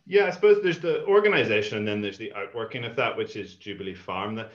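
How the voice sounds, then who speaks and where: A man in his thirties speaks in a lowish voice, thoughtful and a little rambling, often restarting his sentences. The sound comes through a muffled, lo-fi video call line. It carries a roomy echo. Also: animated, quick pace. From Ireland and Malawi. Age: 30s. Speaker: guest, community farm founder. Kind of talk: casual interview chat over video call. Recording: echoey, dull lo-fi call audio.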